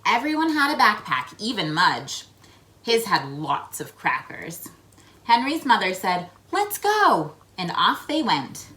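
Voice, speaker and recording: A woman reading a picture book aloud to children, with expressive emphasis and chatty asides addressed to the listeners. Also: mid-range voice, unhurried. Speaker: read-aloud host for children.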